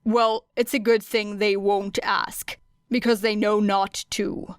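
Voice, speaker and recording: Woman doing a grumpy strict teacher guy, deadpan n curt, clean crisp voice, audiobook character. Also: medium pitch, slow pace, dry recording, clean studio sound, natural voice. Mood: deadpan sparring. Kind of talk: acted audiobook dialogue.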